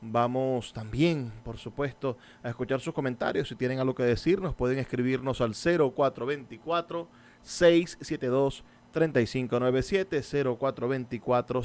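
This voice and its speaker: A man hosting a literary radio program, asking questions and reading announcements in a clear, inviting way. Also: mid-range voice, darkish tone. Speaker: radio show host.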